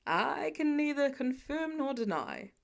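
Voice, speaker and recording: A woman's voice: sits high, sing-song, a little slow, creaky, exaggerated voice. Woman voicing a dry, protective father figure. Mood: wry. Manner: dry, gently scolding, subdued. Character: wry, protective adult man, a father figure.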